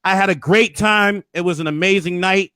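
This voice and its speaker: A hoarse man hosting a casual recap, hyping things up with preacher-like flourishes. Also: high voice, normal speed, sharp tone, no room sound, studio quality. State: hoarse, losing his voice. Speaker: host.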